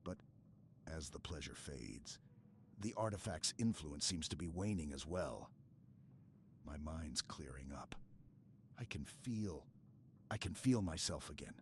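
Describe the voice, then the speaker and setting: A man playing a stoic, earnest super-soldier in an intimate sci-fi ASMR roleplay, speaking in a halting, hushed way with dramatic pauses. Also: a bass voice, expressive, a little hiss or hum, exaggerated voice. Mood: dazed, tender.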